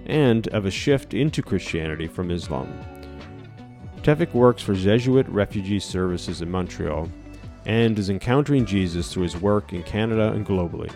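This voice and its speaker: A man reading a formal, measured introduction to a podcast episode. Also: low voice, a little mellow. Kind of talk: scripted introduction. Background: faint guitar music.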